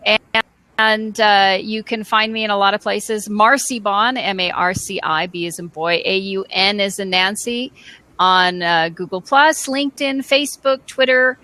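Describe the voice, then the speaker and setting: A woman hosting a casual interview as a publisher, chatty and quick to laugh. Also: mid-range voice, steady tone, sharp tone. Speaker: host, publisher. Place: home, phone ringing nearby.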